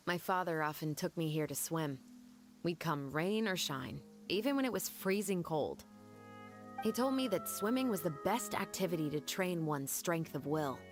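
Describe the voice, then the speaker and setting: A lowish-pitched young woman officer from a video game, played by an actress, serious and quietly resolute. She speaks formally and with measured earnestness, with music behind her. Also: natural voice. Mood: solemn, earnest. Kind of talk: scripted video game dialogue.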